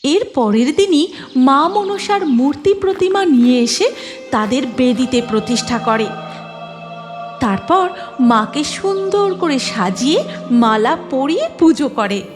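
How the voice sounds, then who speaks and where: A woman's voice: high voice, animated, measured pace, over music. A woman giving scripted narration of a cartoon moral story, in a reverberant recording.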